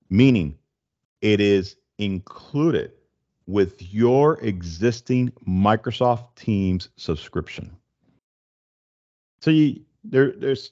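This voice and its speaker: A man in his forties hosting a casual tech explainer, speaking in a halting, stop-and-start way into a close desk microphone. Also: on the low side, darkish tone, muffled recording. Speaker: tech show host. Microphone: desk condenser microphone, close, with headset.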